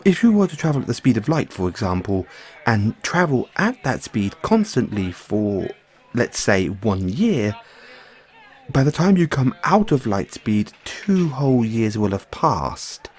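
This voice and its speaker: Man playing mad scientist boyfriend, audio roleplay, slow hesitant explanations, swooping pitch, nerdy and nervous. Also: darkish tone. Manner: hesitant, stammering, explaining at length. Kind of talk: audio roleplay acting, addressing the listener as partner.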